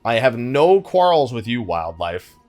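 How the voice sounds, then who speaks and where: A man narrates his gameplay in a casual, conversational style. His mid-pitched voice moves up and down a lot as he thinks aloud with wry asides. Game music plays behind him. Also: big pitch swings, a little slow, darkish tone. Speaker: gaming YouTuber, host of the let's play. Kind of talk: casual let's play commentary.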